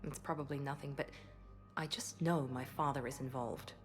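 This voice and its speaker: An actress playing a composed, guarded woman operative in a video game, speaking in a measured, wry, restrained way. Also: a bass voice, steady tone, soft background music. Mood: worried. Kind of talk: video game cinematic dialogue acting.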